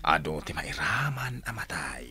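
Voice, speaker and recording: A man playing a defiant, menacing general in an Ilocano radio drama, taunting and gravelly. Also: extremely low pitch, very animated, measured pace, bright, forceful tone, a little hiss or hum, heavily acted. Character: defiant menacing male general daring his armed foe.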